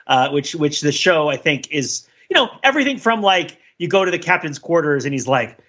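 A man co-hosting a casual pop culture discussion, talking in a halting, digressive way and often correcting himself. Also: a little high, very animated, bright, forceful tone, dull audio. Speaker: podcast co-host.

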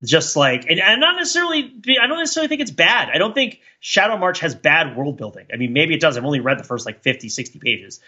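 A man with a bright, slightly high voice talks casually as a podcast co-host. He rambles reflectively, repeating words as he works out his thought. The recording is a little dull and lo-fi. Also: very animated, breathy edge. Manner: rambling, halting, repeats words while thinking aloud.

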